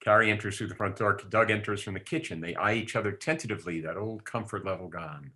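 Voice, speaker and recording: A man's voice: lowish voice, quick pace, pushed and bright. A man narrating stage directions at a table read.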